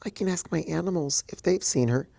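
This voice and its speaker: A shy young pegasus mare from a storybook speaks in a soft, halting murmur, as a reader puts her on. The voice sits a little higher and quieter than the narration, with a dry close sound. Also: gravelly, dry recording. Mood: timid. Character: timid gentle young female pegasus pony.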